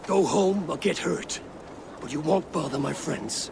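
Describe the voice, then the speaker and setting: Blunt Viking warrior, raised voice. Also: male voice, a little high, animated, a little slow, creaky, a little mellow, slight background noise. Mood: resolute. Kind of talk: video game dramatic acting.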